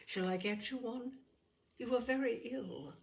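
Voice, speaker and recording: Woman reading as a grave, gentle gentleman, gravelly. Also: a little high, a little slow, pitched above the performer's own voice. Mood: gentle concern. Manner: gentle, solicitous, formal. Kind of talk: dramatised audiobook reading.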